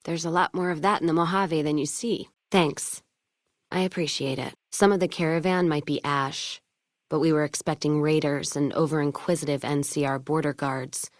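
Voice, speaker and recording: Actress as tough wasteland caravan woman: low voice, blunt, wry, hard-drinking game character, close dry recording. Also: steady tone, moderate pace, no room sound, lightly acted. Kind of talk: video game character dialogue.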